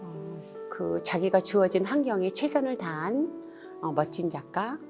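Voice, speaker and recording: A woman's voice: lowish voice, animated, measured pace, music in the background. A middle-aged or older woman, a painter, answering interview questions in an earnest, polite and reflective way.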